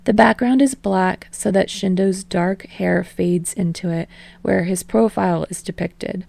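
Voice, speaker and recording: A woman narrating a fan-fiction story aloud, in an even, casual reading voice. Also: mid-range voice, measured pace, gravelly, dry recording. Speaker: podfic reader.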